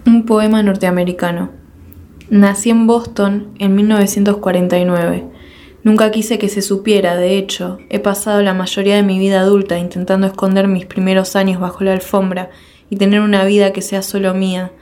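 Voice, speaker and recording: A woman reciting a long first-person poem in a measured, declamatory way, full of rhetorical questions. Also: mid-range voice, darkish tone. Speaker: poetry reader. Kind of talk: dramatic poetry recitation.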